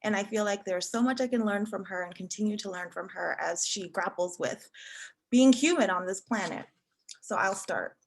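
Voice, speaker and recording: A woman reading a prose essay aloud at a literary event in a measured, earnest way. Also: mid-range voice. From Africa. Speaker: event reader.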